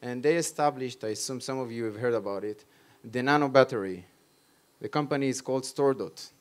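A man's voice: medium pitch, a little mellow. A man in his thirties with an Israeli accent, giving a live conference presentation in emphatic, short, repeated phrases into a handheld microphone. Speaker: conference speaker on startups and innovation. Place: stage at a tech conference.

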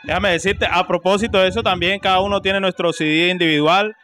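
Colombian male singer, middle-aged, high voice, casual interview over faint music. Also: steady tone, fairly quick, bright, forceful tone. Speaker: salsa singer being interviewed. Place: indoor room at a nightclub event. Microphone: handheld interview microphone.

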